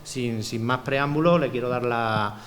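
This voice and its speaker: A man's voice: medium pitch, measured pace, fairly bright tone. A man moderating a conference panel and introducing the speakers, talking fluently with frequent small restarts and fillers. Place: conference hall. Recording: long hall reverb, faint room noise.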